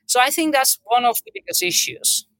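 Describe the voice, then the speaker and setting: Middle-aged Turkish-accented woman, bright and forceful, earnest unscripted panel speaker from the hotel business. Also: fairly high pitch, lively intonation, brisk, dry recording. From Turkey.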